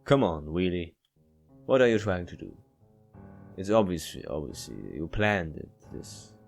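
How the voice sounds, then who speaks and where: A man's voice: low voice, expressive, unhurried, vocal fry, heavily acted. A man voicing a wary, exasperated young man from a visual novel, blunt and accusing. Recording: faint background music.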